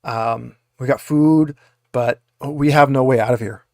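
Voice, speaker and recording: A man's voice: mid-range voice, a little mellow, no room sound, close to an everyday voice. Flustered female android for a tabletop game, played by a man: hesitant, repetitive, pleading, dry recording. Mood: reluctant, pleading. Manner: hesitant, halting, repeats words.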